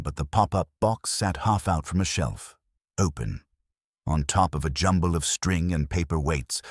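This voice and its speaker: A man reading scripted first-person detective fiction in a noir style, with a gravelly, husky voice. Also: very deep voice, no room sound, clean studio sound. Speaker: narrator of a detective story.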